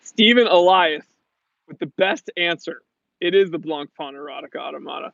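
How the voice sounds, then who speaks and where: A man's voice: fairly high pitch, normal speed, gravelly, a bit bright, no echo. An American man from New England hosting a casual livestream Q&A, chatting in a slangy, jokey, rambling way. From New England, USA. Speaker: livestream host. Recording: muffled lo-fi audio.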